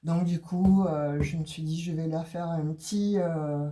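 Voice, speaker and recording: Woman card reader with a cold; warm low-key tone, halting delivery full of restarts. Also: steady tone. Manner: hesitant, many fillers and restarts. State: has a cold. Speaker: tarot and oracle card reader. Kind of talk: casual card reading for viewers.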